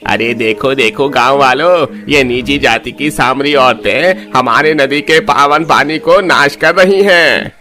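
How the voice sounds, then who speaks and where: Bright-voiced village man acted by a man. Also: mid-range voice, measured pace, hardly any pauses. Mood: accusing, alarmed. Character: village potter and sly priest, loud accusing man. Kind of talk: Hindi animated story dialogue.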